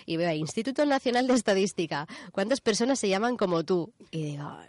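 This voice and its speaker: A woman's voice: medium pitch, animated, fast talker, darkish tone. A woman in her thirties with a Spanish accent from Spain, hosting a radio show in casual, colloquial chat and explaining as she goes. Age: 30s.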